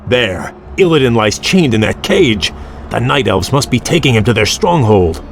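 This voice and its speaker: An actor playing a young, noble elf prince in a fantasy video game, formal, earnest and devoted. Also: male voice, medium pitch, very animated, normal speed, creaky, slight background noise. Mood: wary, urgent.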